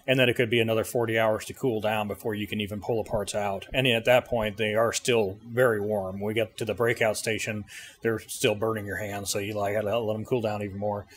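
A middle-aged man giving an informal technical explanation in interview answers, plain-spoken and pausing as he goes. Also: lowish voice, little pitch movement. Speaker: service bureau co-owner explaining his machine. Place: indoor 3D printing workshop. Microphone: handheld interview mic held by interviewer. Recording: clearly noisy machine-room ambience.

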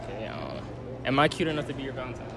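A man's voice: mid-range voice, sing-song, fast talker, very noisy. A young man casually asking interview questions out on a mall concourse. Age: young adult. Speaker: vlog co-host interviewing. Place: large indoor mall concourse.

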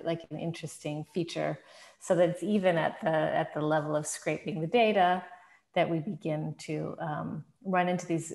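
Moderator: woman, deep and gravelly voice, hesitant and searching, echoing room. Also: a little mellow. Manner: hesitant, searching, many fillers and restarts. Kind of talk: thoughtful questioning on a video call. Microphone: video call. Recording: roomy video-call audio.

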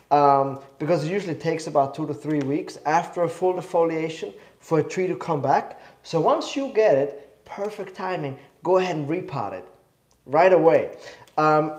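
A man's voice: fairly high pitch, darkish tone, echoey room. A young man from Switzerland with a slight cough, eagerly teaching in a live studio demo, rambling and correcting himself. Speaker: bonsai demo host. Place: indoor studio.

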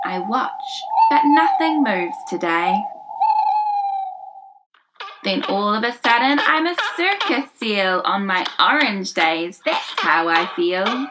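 A woman in her twenties hosting a children's storytime, reading a picture book aloud in an expressive, deliberate way for young listeners. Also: a little high, very noisy. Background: music.

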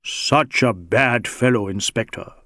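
A man's voice: mid-range voice, unhurried, fairly bright tone, no echo, clean studio sound. A man voicing a big, brawny Sikh rogue in an audiobook, sly and teasing, with wheedling mock deference. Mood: mock humble. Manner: wheedling mock deference, Indian English phrasing. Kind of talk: audiobook character dialogue.

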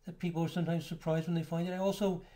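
A man giving a relaxed, explanatory lecture over slides, now and then pausing on filler words. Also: fairly high pitch. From United States. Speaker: astrology lecturer.

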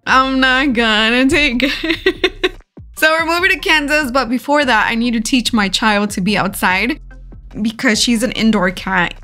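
A woman's voice: a little high, a little slow, pushed and bright, faint music behind. A woman narrating her road trip vlog in a casual, chatty way, giggling often and filling her talk with "like". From California. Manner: chatty, giggly, many "like" fillers. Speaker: vlogger.

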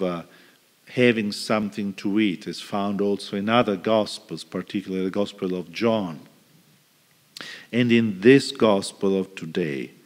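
A middle-aged male priest preaching a homily at a lectern in a large church, reflective, with pauses and restarts. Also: on the low side. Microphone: stand mic at lectern. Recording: reverberant church hall.

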